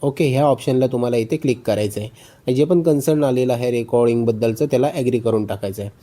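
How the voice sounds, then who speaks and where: A man's voice: mid-range voice, quick pace, warm tone. A man narrating a phone tutorial step by step, often repeating instructions and check phrases. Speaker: tutorial host.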